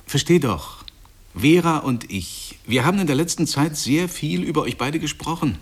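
A man's voice: on the high side, animated, normal speed, husky. An actor playing a self-satisfied, patronizing husband and proud father in a German radio play, preachy and emphatic. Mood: earnest, patronizing. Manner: emphatic, preachy, swinging between gushing and confiding.

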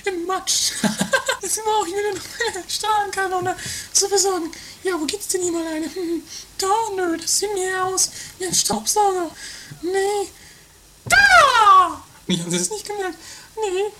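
A performer voicing a shrill, vengeful, scheming woman who mutters theatrically to herself in an improvised trashy comedy radio play. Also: pushed and bright, a little hiss or hum, exaggerated voice, rough recording. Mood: sneaky, mocking.

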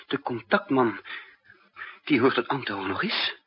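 Husky, brooding spy. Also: male voice, medium pitch, lively intonation, measured pace. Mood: worried, urgent. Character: troubled male spy living under another man's identity. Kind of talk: 1960s radio drama acting and first-person narration. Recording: muffled, dull 1960s radio recording.

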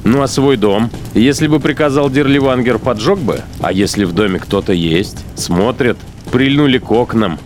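A man playing a cynical German battalion commander in a radio drama, musing deliberately with rhetorical questions. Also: mid-range voice, darkish tone, close to an everyday voice. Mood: cold musing. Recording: music behind the voice.

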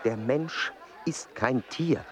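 An actor playing a strict, pedantic, driven explorer and scientist in a radio play, clipped and commanding. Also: male voice, mid-range voice, takes it slowly, crowd noise, close to an everyday voice. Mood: severe. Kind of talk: German radio play acting.

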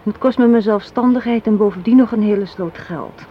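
Through a slightly hissy, muffled old radio-play recording comes an actress as a young woman with a sharp mind and a cynical streak. She argues assertively and articulately, with a sardonic edge. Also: mid-range voice, brisk, lightly acted. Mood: challenging, cynical. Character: assertive, cynical young woman arguing against a marriage proposal.

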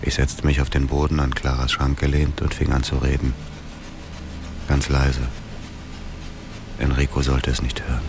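An actor playing a cold, bitter middle-aged widower bent on revenge, brooding and controlled, in a radio drama. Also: male voice, very deep voice, completely flat delivery, normal speed, vocal fry, pauses often. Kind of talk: radio drama narration and dialogue.

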